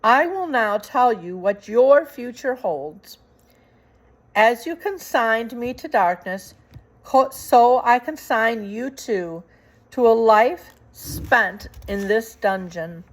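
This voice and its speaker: A woman's voice: fairly high pitch, animated, takes it slowly, voice pushed up, poor audio. A woman voicing a bossy, villainous male rat from a children's storybook, speaking in a pompous, formal, sneering way. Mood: menacing, pompous. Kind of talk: children's storybook read aloud.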